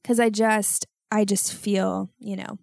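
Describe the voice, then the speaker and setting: A young woman hosting a solo podcast, talking in a rambling, self-mocking way with loud outbursts, and sounding congested. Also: medium pitch, flat delivery, takes it slowly, vocal fry, no echo, studio quality. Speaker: podcast host.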